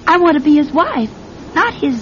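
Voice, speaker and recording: Earnest, naive young radio heroine, highish. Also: female voice, lively intonation, moderate pace, a bit bright. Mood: earnest, confiding. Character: earnest, naive, lovestruck old-fashioned young woman. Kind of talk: old-time radio drama acting. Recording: muffled, noisy old radio recording with rumble.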